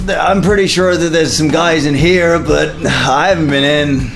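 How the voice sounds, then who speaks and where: Man doing a high-pitched laid-back stoner bro gnome. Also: normal speed, creaky. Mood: laid-back, friendly. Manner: slangy drawling bro talk. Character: tiny muscle-bound stoner gnome, laid-back, friendly, dim. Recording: music playing under the voice.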